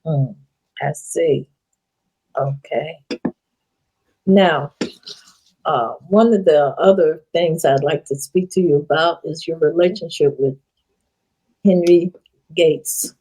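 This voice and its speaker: Woman host with a low, halting delivery. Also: dark tone, slightly rough, poor audio. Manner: halting questions with restarts. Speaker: interview host. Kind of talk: casual interview questions.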